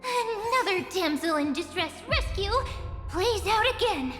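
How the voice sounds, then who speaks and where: A woman's voice: very high-pitched, animated, unhurried, fairly bright tone, exaggerated voice. A woman playing a tiny, cute, boastful sprite in a game dub, with a bubbly and theatrical delivery. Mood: plucky, boastful. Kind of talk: English game dub voice acting. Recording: echoey reverb, music behind.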